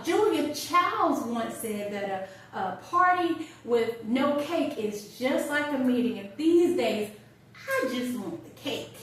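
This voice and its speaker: A woman's voice: sits high, pitched above the performer's own voice, full character voice. Woman playing a wry older woman, very echoey. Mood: wistful, chatty. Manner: conversational, wry, with pauses and hesitations. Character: chatty, wry older woman reflecting on her birthdays. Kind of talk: theatrical audition monologue. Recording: distant, very echoey room.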